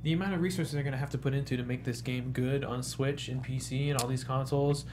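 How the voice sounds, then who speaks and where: A man's voice: medium pitch, fairly even intonation, fast, noisy background. A man co-hosting a casual panel, giving opinions in a sceptical, grumbling way. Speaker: panel co-host.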